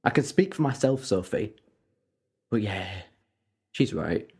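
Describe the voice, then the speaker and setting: A man playing a confident, sharp-tongued time-travelling hero in an audio drama, sardonic and self-assured. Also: lowish voice, sing-song, measured pace, vocal fry, breathy edge, full character voice. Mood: scornful. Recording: long hall-like reverb.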